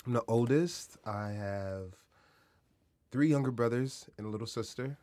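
A man in his thirties from Kansas City, a podcast guest talking in a relaxed, reflective way with casual slang. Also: medium pitch, a little slow. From Kansas City, Missouri, USA. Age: 30s. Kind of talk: casual interview chat.

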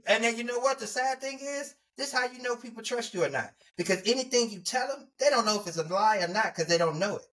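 Laid-back man with a high voice. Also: animated, fast, hardly any pauses, fairly bright tone. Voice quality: high-pitched voice. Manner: laid-back, loose and rambling. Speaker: podcast co-host. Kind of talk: casual podcast chat.

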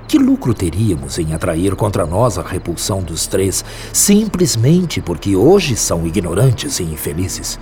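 A man's voice: sits low, big pitch swings, darkish tone, a little hiss or hum. An actor playing a wise, compassionate spirit mentor in a radio drama, speaking formally and patiently, like a fatherly teacher. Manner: measured, didactic, formal, fatherly. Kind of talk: radio drama acting, solemn discourse. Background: music.

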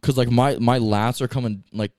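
A man's voice: medium pitch, steady tone, normal speed, darkish tone, no echo, studio quality. A young man co-hosting a podcast, talking about the gym in a laid-back way, with lots of filler words. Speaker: podcast co-host. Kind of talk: casual chat.

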